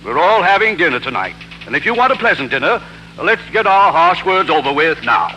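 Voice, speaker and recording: High, piercing, fussy older American lawyer. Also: male voice, big pitch swings, brisk, bright, forceful tone. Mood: reproachful. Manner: fretful, stuffy, indignant. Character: fussy, agitated older father-in-law and law partner. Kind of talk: 1940s radio acting. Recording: noisy 1940s radio recording.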